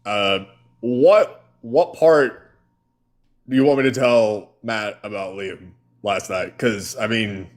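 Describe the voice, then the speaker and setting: A man's voice: fairly low pitch, slow. A man co-hosting a casual live stream, rambling with many fillers and restarts. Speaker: co-host.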